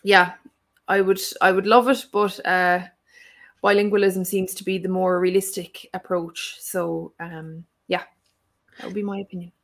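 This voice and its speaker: A young woman with an Irish accent talks through her answer with plenty of fillers. Her voice carries a gravelly fry and stays fairly level in pitch. She sounds earnest and thoughtful. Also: flat delivery, unhurried. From Ireland. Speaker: Irish language teacher. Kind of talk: live stream Q&A answer.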